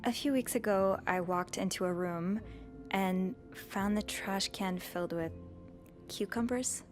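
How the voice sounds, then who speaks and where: A woman's voice: mid-range voice, measured pace, faint music behind, lightly acted. A woman playing a shy, awkward, earnest young hotel maid in a video game, speaking hesitantly. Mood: casual, awkward. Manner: hesitant, stammering. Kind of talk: naturalistic video game acting.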